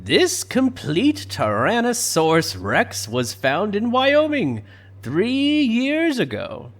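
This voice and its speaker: A man's voice: high voice, wildly swooping pitch, slow, exaggerated voice. A man playing a proud, showy older park owner in an audio drama, with a theatrical, grandiose manner. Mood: grand.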